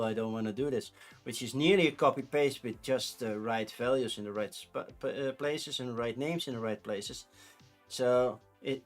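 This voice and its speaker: A man in his thirties with a Dutch accent, rambling casually on a live stream. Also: medium pitch. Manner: rambling, chatty, with frequent restarts and laughs.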